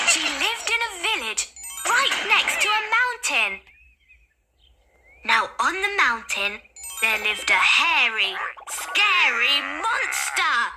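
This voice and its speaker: A woman reading children's stories as an expressive read-along narrator with simple, deliberate phrasing. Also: sits high. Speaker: storyteller.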